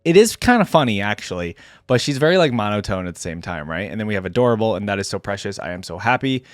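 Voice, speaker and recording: A man's voice: lowish voice, sing-song, fast talker, no room sound. Casual male reactor, very dry close sound. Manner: chatty, thinking aloud, amused asides. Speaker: host of a reaction video.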